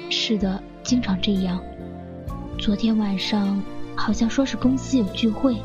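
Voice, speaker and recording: Woman acting polite young daughter in an audio drama, medium pitch, bit muffled, music behind. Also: unhurried, lightly acted. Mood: subdued, cooperative. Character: soft-mannered young woman, daughter of a professor.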